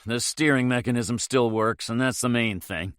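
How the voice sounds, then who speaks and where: A man's voice: mid-range voice, moderate pace, a bit bright, clean tone, dry recording, close to an everyday voice. Hard-bitten captain, clipped and dry, voiced by the male narrator. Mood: tense. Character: tough, tense male boat captain.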